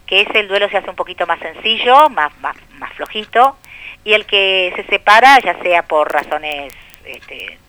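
Chatty Buenos Aires actress, bright voice. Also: female voice, mid-range voice, a little slow, clear, resonant tone, rough recording. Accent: Buenos Aires Spanish. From Argentina. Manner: lively, chatty, joking, laughs often. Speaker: actress guest. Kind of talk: radio interview chat.

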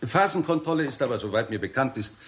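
An actor playing a confident scientist who lectures deliberately and emphatically in a 1960s German radio drama. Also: male voice, medium pitch, animated, fairly bright tone, lightly acted. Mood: assured, emphatic. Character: male scientist, confident, explanatory, theorising.

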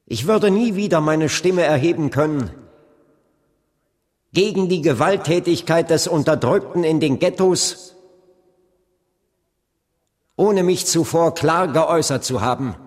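An actor playing an earnest, resolute male civil rights leader and preacher in a German radio drama, with weighty, emphatic oratory. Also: fairly high pitch. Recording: reverberant hall sound.

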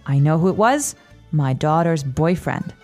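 A woman hosting a language-learning podcast, explaining a dialogue slowly and clearly in a teacherly way. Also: sits low, animated, darkish tone, soft background music.

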